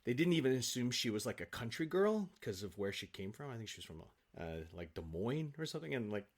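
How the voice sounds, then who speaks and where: A man in an interview, telling anecdotes with nerdy, animated enthusiasm. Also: mid-range voice, a little fast. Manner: enthusiastic, self-confessed film nerd. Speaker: guest, stage actor.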